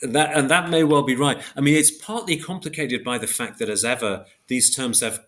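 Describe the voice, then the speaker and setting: A man giving an academic explanation in an interview, measured and hesitant, working step by step through examples. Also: medium pitch, a bit bright. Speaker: podcast guest, philosopher of biology.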